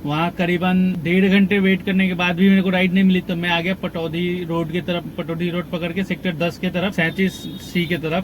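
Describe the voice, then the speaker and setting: A young man from Bihar talks through his taxi fares, tolls and waiting times in an easy, chatty way, his voice sitting fairly high. He records from inside his car with a phone or camera, and a faint hum of city traffic runs underneath. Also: rough recording. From Bihar, India. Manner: chatty, running through fares and waits in detail. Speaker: taxi driver vlogger. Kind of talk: casual driving vlog talk.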